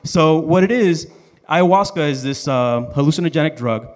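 A man's voice: fairly high pitch, animated, moderate pace. A man from the Dominican Republic and Miami telling a personal story on stage in an informal, joking manner. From Dominican Republic and Miami, USA. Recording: reverberant live hall.

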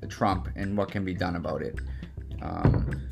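A man with a low, gravelly voice hosts a casual interview show. He talks conversationally and haltingly, feeling his way through phrases. Guitar music plays behind him. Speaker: podcast host interviewing his father.

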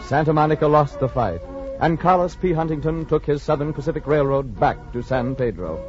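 A man's voice: a little high, lively intonation, brisk, over music. A man reading scripted narration in a formal, dramatic 1940s radio style. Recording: muffled 1940s radio recording.

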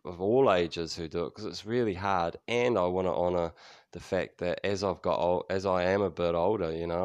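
A man in his fifties with a New Zealand accent speaks in a very deep, smooth voice. He rambles openly through a solo podcast, restarting sentences and swearing freely. The sound is dry and close. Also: no echo. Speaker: podcast host. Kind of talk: casual solo podcast monologue.

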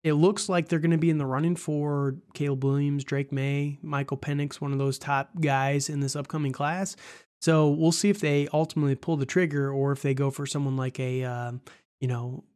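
A man's voice: a little high, fairly even intonation, normal speed, a little mellow, clean tone. A man with a cold, sounding stuffed up, hosting a casual sports podcast in a rambling, chatty way and laughing often. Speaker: podcast host.

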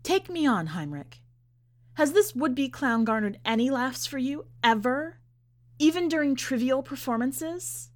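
A bold young woman who wants to be a clown acted by a woman reading aloud in a storybook tale. She is insistent and taunting, with her pitch lifted a little. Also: lively intonation, a little slow. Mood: challenging.

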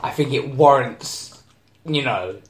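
A man, hosting a casual banter chat and teasing his guest in a jokey, animated way. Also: medium pitch, takes it slowly. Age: 20s. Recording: echoey room.

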